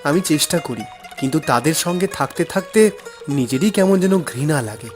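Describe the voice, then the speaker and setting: A man's voice: on the high side, lively intonation, normal speed, faint music behind, lightly acted. Man acting a meek, troubled young villager. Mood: downcast, unsure.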